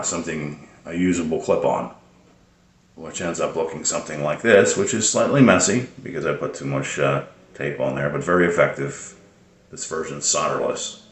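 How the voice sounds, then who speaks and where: A man giving a casual, unscripted how-to explanation in a hesitant, rambling way with many pauses. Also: extremely low pitch, a bit bright. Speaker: presenter of a radio tips video. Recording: roomy, echoing sound.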